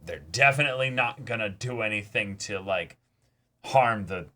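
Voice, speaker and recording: Casual male co-host for fan discussion, forceful bright tone, emphatic and enthusiastic delivery. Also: fairly low pitch, normal speed. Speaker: co-host of an anime reaction channel. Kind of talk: casual chat, reaction discussion.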